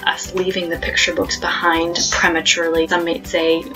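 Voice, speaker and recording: A woman in her thirties talks casually to camera, explaining things in a hesitant way with thoughtful pauses. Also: lowish voice, completely flat delivery, pushed and bright, soft background music, rough recording. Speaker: homeschooling parent and video host. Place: small plain room at home, indoors.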